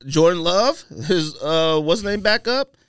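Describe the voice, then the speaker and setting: A man chatting casually about sports as a podcast co-host, in an informal, slangy, animated way. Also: high-pitched, measured pace, a crowd in the background.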